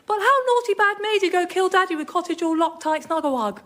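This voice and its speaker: A squeaky, babbling childlike half-wit. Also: female voice, fairly quick, fairly bright tone, echoey room. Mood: babyish. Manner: babbling, infantile, simple-minded. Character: childlike, half-witted daughter, babbling in baby talk. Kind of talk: radio sketch comedy acting.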